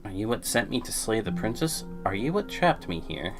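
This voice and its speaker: Low-voiced male game streamer. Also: big pitch swings, normal speed, music in the background. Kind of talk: livestream playthrough, reading choices and narration aloud.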